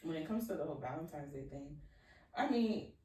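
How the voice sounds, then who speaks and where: A woman chatting casually as a podcast co-host, teasing and laughing loudly. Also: low voice, animated, brisk, distant mic. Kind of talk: casual chat. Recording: very echoey, off-mic.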